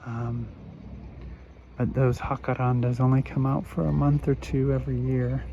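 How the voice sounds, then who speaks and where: Hoarse male vlogger, loose filler-heavy street-tour chatter, muffled outdoor phone audio with passing cars. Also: mid-range voice, level tone, mellow. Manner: rambling, unhurried, many fillers. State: hoarse, recovering from illness. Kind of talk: walking tour commentary. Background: street traffic.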